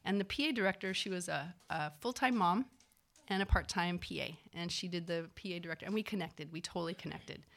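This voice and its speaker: A woman, a physician assistant, telling a personal story in a casual, animated way, acting out the people she quotes, with lots of fillers. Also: on the low side, brisk, vocal fry.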